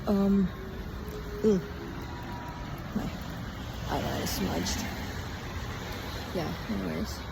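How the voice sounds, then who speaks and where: Young female podcaster, weary and halting, unhurried casual diary ramble, clearly noisy outdoor recording with traffic. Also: low-pitched, big pitch swings. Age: young adult. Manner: halting, trailing off mid-thought. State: tired. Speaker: podcast host. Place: outdoors on a walk in a town. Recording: outdoor street noise with passing cars. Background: traffic and urban ambience.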